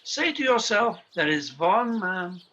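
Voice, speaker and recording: An actor playing a genial but secretive professor in a radio play, with a courteous, theatrical and halting delivery. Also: male voice, high-pitched, big pitch swings, a bit bright, muffled recording, exaggerated voice. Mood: sly, conspiratorial. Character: odd, genial bird-shop professor secretly supplying saboteurs with bombs.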